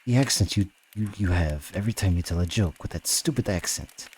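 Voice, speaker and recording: A man's voice: sits low, animated, brisk, a little mellow, a little hiss or hum. A man voicing a sullen, skeptical seventeen-year-old Russian boy in audiobook dialogue, dry and needling. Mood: irritated.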